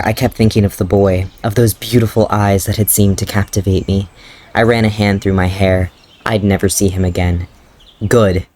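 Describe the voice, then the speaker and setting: A man's voice: on the low side, darkish tone. A man doing a dramatic reading of his old fanfiction, breaking off into amused, self-mocking commentary and laughter.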